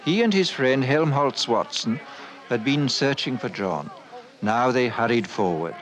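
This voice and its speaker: A man's voice: mid-range voice, lively intonation, a little slow, a bit bright. A man giving grave scripted narration in the manner of a fifties radio drama.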